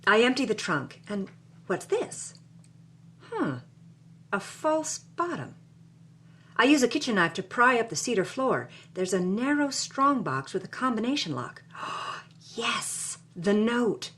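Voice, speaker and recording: A woman's voice: fairly high pitch, very animated, unhurried, a bit bright. A woman playing a plucky, jaded 1950s Hollywood starlet, narrating her own story in a wry, punchy noir style. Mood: excited, curious. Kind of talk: first-person noir-style scripted narration.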